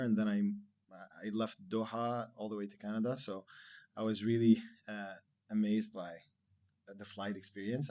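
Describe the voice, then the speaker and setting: Low, flat-voiced man in his thirties. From Lebanon. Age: 30s. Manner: relaxed, rambling with many fillers. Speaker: podcast guest. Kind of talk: casual interview chat.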